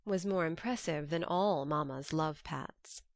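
Audiobook narrator, woman: lowish pitch, scripted literary storytelling, dry close sound. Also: unhurried, dry recording.

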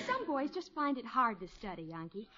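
Young married American niece, slightly high, polite, practical, old radio comedy, muffled sound. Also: female voice, heavy background noise. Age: young adult. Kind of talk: 1950s radio comedy acting. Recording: muffled 1950s radio recording.

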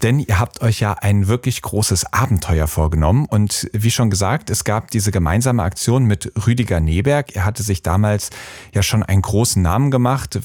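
A man from Germany hosting an interview, asking curious, measured questions. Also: fairly low pitch, a little mellow, no echo, studio quality. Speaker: podcast host. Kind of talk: interview conversation.

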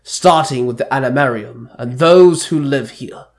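A man voicing a grave, commanding ancient spirit god in a story reading, with slow, portentous delivery. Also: mid-range voice, lightly acted. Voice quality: bellowing. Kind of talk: amateur fan-fiction story reading.

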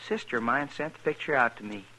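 An actor playing a chatty, excitable small-town Western barber in a radio drama. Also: male voice, medium pitch, quick pace, close to an everyday voice. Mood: chatty, obliging. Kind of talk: 1950s radio western acting. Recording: noisy old radio recording.

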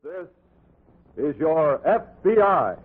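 An American man announcing formally on a 1940s radio broadcast, measured and declamatory. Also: a little high, big pitch swings, slightly rough, phone-quality sound. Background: music.

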